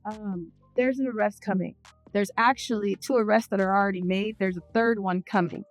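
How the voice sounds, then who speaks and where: A woman's voice: mid-range voice, moderate pace, soft background music, low-quality recording. A woman giving informal commentary in a blunt, insistent way.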